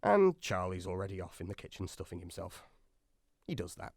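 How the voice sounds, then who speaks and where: A man's voice: sits low, big pitch swings, brisk, creaky, very noisy, full character voice. A man acting in a radio drama as a wry, anxious science officer aboard a submarine, chatty and dryly sarcastic.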